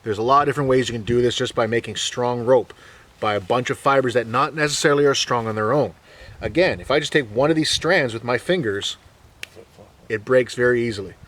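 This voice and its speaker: A man teaches bushcraft skills in a relaxed, plain-spoken way, with easy jokes between the steps. His voice sits at an ordinary middle pitch. He stands a little back from the microphone. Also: a little fast, far from the mic. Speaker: bushcraft instructor. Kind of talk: hands-on outdoor skills demonstration.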